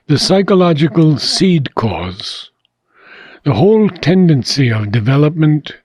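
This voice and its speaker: A man reads a philosophical book aloud at a slow pace, pausing often between measured phrases. His voice sits at a middling-to-ordinary male pitch. The recording is close and dry, with very little room sound. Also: darkish tone, husky, no room sound, highs cut off. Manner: deliberate, measured phrasing with frequent pauses. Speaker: audiobook reader. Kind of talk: scripted reading of a philosophical book.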